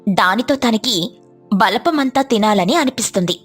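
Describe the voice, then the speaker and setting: A woman's voice: mid-range voice, lively intonation, moderate pace, slightly rough. A woman storyteller reading a scripted children's story narration. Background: music.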